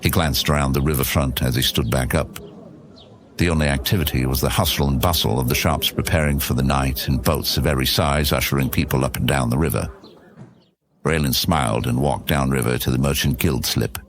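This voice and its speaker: A man's voice: rumbling low, fairly even intonation, fairly quick. A man narrating a scripted fantasy story as the narrator of an audio serial.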